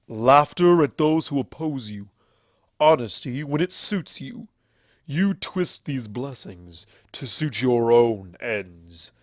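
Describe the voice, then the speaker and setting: A bitter, sneering pony villain, acted out by a male narrator, speaks with theatrical venom and slow, drawn-out scorn. The delivery is forceful storybook drama with a dry, close sound. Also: medium pitch, no room sound. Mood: scornful. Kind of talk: dramatic storybook character reading.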